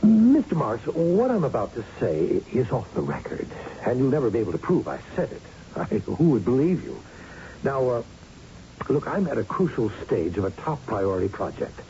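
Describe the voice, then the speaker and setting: An actor playing a condescending, evasive, corrupt middle-aged factory boss in a 1970s-style radio drama. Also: male voice, medium pitch, very animated, normal speed, mellow. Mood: smug, patronizing. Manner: evasive, patronizing, rhetorical. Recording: dull, noisy old radio recording.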